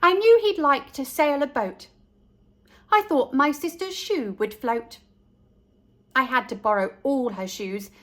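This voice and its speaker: A woman's voice: high voice, fairly bright tone, heavily acted. A woman voicing a cheeky, self-assured three-year-old girl who narrates a rhyming storybook in an innocent sing-song manner.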